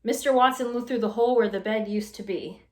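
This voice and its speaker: A woman reads a children's storybook aloud in a high, expressive voice. The room around her adds a clear echo, as at a storytime session. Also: fast. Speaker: storytime reader. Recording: roomy echo.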